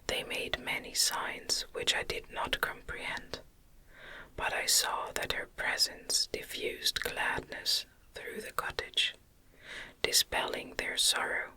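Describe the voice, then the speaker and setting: A woman reading a gothic novel aloud in a gentle, breathy ASMR whisper, with careful phrasing, close to the microphone. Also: slow pace. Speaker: audiobook reader.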